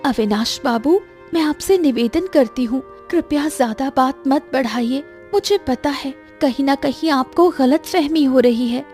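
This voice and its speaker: A woman acting an earnest, high-voiced young village sister. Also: measured pace, hardly any pauses, a little mellow. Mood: firm, pleading. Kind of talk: animated moral story dialogue in Hindi. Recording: music behind the voice.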